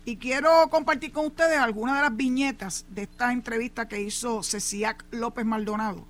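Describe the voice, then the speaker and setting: A woman's voice: on the high side, moderate pace. A Puerto Rican woman hosting a show, giving emphatic opinion commentary on local news and dropping in English asides. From Puerto Rico.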